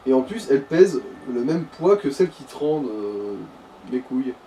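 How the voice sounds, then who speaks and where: A man's voice: medium pitch, very animated, normal speed, mellow. A man sits well away from the mic. He chats casually over a video game, explaining things in loose slang as a co-commentator. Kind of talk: casual gaming chat. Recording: distant mic with background noise.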